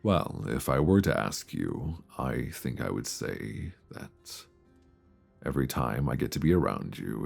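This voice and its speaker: A man acting as a lovestruck male friend in an intimate ASMR romance roleplay. His voice is extremely low with a gravelly creak, soft and hesitant, spoken close to the mic over faint music. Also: full character voice. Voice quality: deep voice. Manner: hesitant, soft, earnest, with sighs and pauses. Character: lovestruck longtime male friend confessing love, tender and nervous. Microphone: close binaural microphone.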